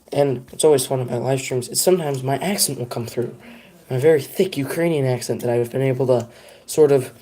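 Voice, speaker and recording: Mellow-voiced young man rambling on a stream. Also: mid-range voice, a little hiss or hum. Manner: rambling, self-deprecating, chats to viewers. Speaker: live streamer. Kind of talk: casual live stream chat.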